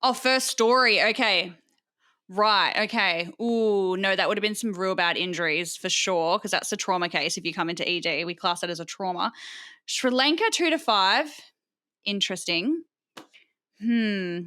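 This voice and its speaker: A woman hosting a podcast in casual chat, curious and lively, asking follow-up questions. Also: mid-range voice, normal speed. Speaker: podcast host.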